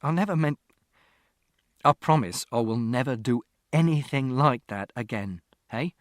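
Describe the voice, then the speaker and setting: A volatile, defensive father from an audiobook, acted out by a male narrator. His pitch swings widely with raw desperation. The sound is dry, without room echo. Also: lively intonation, measured pace, natural voice. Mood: pleading, remorseful. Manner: shouting and swearing. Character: furious, foul-mouthed father, desperate and defensive. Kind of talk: audiobook character acting.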